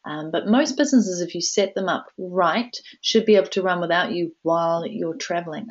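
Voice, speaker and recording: A woman co-hosting a live webinar Q&A, upbeat and quick to laugh. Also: low voice, a little mellow. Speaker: webinar co-presenter. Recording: dull lo-fi webinar audio.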